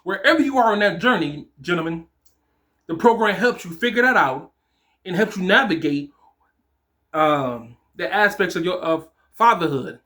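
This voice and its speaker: A man's voice: high-pitched, expressive, moderate pace, bright, forceful tone. A man in his forties giving an informal, encouraging motivational talk to fathers, speaking emphatically as a coach. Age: 40s.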